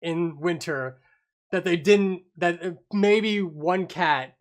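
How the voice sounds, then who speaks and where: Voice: mid-range voice, slow. A podcast panelist talking casually and with strong opinions in a group book discussion, swearing now and then.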